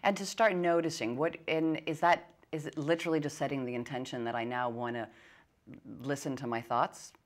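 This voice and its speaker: A woman with a strikingly low voice hosts an interview in a conversational style. She is attentive, rewording her questions as she goes. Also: fairly even intonation, brisk. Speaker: interview host.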